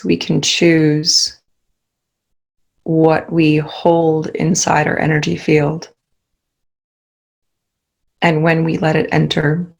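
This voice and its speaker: Calm lady doing guided meditation, really even low tone, lots of pauses, soothing. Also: vocal fry.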